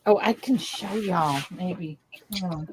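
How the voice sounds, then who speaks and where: A woman's voice: fairly low pitch, slow, noisy background. A middle-aged woman with a Southern Texas accent, hosting a live stream and chatting with viewers in a giggly, easygoing way. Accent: Texan Southern. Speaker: host. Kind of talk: live-stream casual chat.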